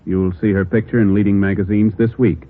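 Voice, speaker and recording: A male radio announcer from the 1950s, polished and formal in his delivery. His voice sits fairly low, and the sound has the narrow, tinny band of a vintage broadcast. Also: little pitch movement, brisk.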